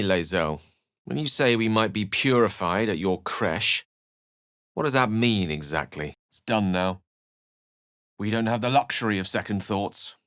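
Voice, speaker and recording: A refined, scholarly video game wizard in middle age. He phrases things ornately and with wry precision, theatrical yet measured. The recording is close and dry. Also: fairly low pitch, fairly bright tone, no room sound. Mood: musing, weary. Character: eloquent, refined adult male wizard companion, wry and scholarly.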